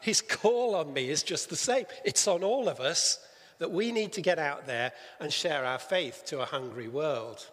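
A man leading a church session, preaching and then chatting with a church member on stage, a little halting and teasing. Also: a little high, animated, fairly bright tone. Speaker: church leader interviewing on stage. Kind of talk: sermon-style talk and interview. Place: church hall. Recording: reverberant church hall.

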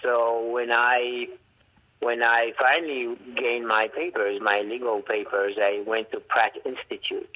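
A man with a heavy Haitian accent tells his story as an artist, stopping and restarting his sentences and acting out the dialogue. His voice sits at an ordinary pitch. It comes through a narrow, tinny telephone line. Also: flat delivery. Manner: reflective, halting with restarts, acts out quoted dialogue. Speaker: artist and art professor. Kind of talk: storytelling interview over the phone.

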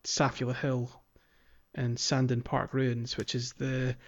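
The slightly dull recording carries a man's voice of ordinary pitch. He talks as a podcast host, casually retelling a video game and feeling his way haltingly through each sentence. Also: monotone.

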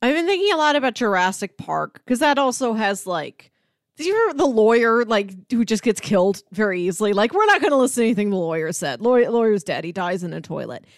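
A woman's voice: medium pitch, big pitch swings, fast, no room sound, clean studio sound. A woman in casual film discussion, musing aloud with wry, joking asides. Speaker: film podcast host.

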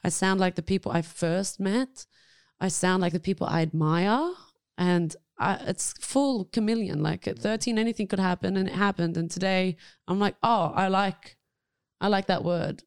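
A woman with a Lebanese Australian accent and Arabic as her first language speaks candidly in an interview. Her voice is clear and resonant, recorded close and dry. She talks expressively, often restarting a thought in casual slang. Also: medium pitch, normal speed, dry recording. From Lebanon, moved to Australia at thirteen. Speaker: guest, actor.

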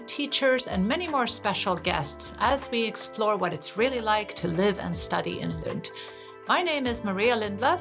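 Woman, podcast host, friendly and chatty, faint music bed. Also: mid-range voice. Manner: friendly, curious questions with fillers. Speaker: podcast host, recruitment manager. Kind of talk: university podcast interview.

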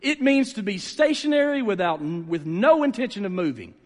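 High-voiced preacher man in a hall. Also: sing-song, moderate pace. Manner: conversational, emphatic, repeats phrases, checks in with listeners. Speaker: pastor preaching to a church. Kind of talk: sermon. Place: large room, preaching to a gathered congregation. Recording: reverberant hall sound.

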